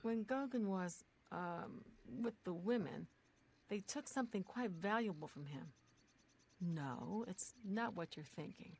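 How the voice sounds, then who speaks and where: A woman's voice: medium pitch, a little mellow, slight background noise, natural voice. An actress playing an aggrieved, frank townswoman and wife in a fantasy video game. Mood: earnest. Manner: frank, rambling, exasperated. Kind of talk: video game dialogue acting.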